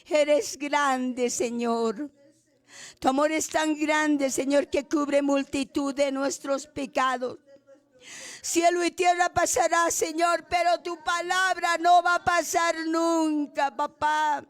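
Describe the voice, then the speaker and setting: High-voiced woman in fervent pleading prayer. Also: little pitch movement, slow. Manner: pleading, repeating "Señor" and "papá" between phrases. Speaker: prayer leader.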